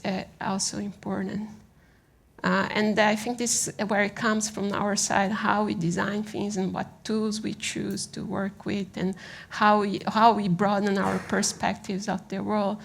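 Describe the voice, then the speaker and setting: A woman from Brazil with a Brazilian accent giving an informal conference presentation in a halting, conversational manner, often checking in with the listeners. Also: mid-range voice, creaky. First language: Portuguese. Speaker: conference speaker on design.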